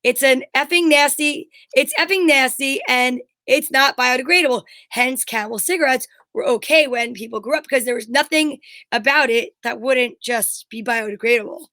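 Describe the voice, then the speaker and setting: A woman with a high voice and close, dry sound chats casually as a co-host. She is blunt and emphatic, drifting into rambling personal anecdotes with the odd swear word. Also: moderate pace, pushed and bright, no room sound. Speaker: show co-host. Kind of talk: casual chat.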